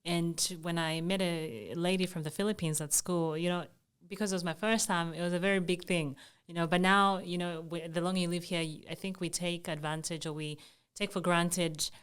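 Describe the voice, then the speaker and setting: A young adult woman from Zambia, a guest in an interview, speaking thoughtfully with frequent ums and soft laughs. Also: on the low side, steady tone, normal speed, clear, resonant tone. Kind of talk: casual conversational interview.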